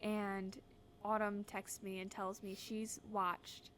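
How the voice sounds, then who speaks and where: A woman's voice: medium pitch, level tone, takes it slowly, a bit bright, slight background noise. Young woman co-host, upbeat and dramatic. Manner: upbeat, dramatic show-opening delivery. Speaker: podcast co-host. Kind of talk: casual chat with scripted intro.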